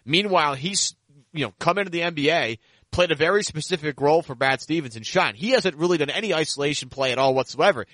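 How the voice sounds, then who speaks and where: A man's voice: fairly high pitch, bright, forceful tone, dry recording. A man hosting a sports podcast, talking basketball in a casual, conversational way.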